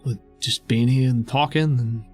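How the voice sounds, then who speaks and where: A man's voice: medium pitch, steady tone, normal speed, darkish tone, faint music behind. A man narrating a tabletop game as game master in a dramatic storytelling style.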